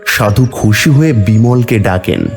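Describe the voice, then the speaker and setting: A man's voice: on the low side, lively intonation, measured pace, a little mellow, faint music behind. A man narrating a scripted fairy-tale cartoon as the storyteller.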